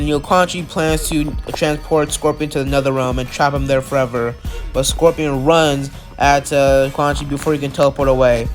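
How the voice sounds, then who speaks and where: A man with a slightly high voice recaps a video-game character's history for his channel. He has a cold and rambles, leaning on likes and uhs. Faint music sits underneath his voice. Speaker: channel host.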